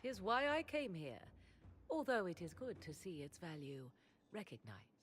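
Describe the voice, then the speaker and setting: A low, swooping voice for a haughty witch advisor in a fantasy game. She is learned and sardonic, with archaic, measured phrasing. Clear ambient game noise sits behind her. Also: very animated. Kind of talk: fantasy video game dialogue.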